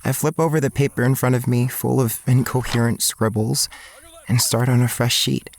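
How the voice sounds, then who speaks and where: A man's voice: medium pitch, level tone, moderate pace, gravelly, close to an everyday voice. An actor playing a self-conscious, dreamy young male student, narrating an audio drama in the first person with an earnest, introspective manner. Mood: wistful, conflicted.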